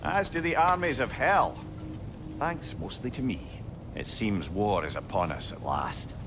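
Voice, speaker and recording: Wry older companion, Scottish accent, lively swinging intonation, wordy, theatrical, video game drama, loud music behind. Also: male voice, on the low side, unhurried. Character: Mimir, wry chatty older male sage companion.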